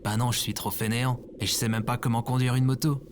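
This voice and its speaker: An actor playing a lazy, grumbling slacker man in his thirties, casual, slangy and deadpan, in an acted audio comedy. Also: medium pitch, fast, background noise, natural voice. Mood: defiant laziness.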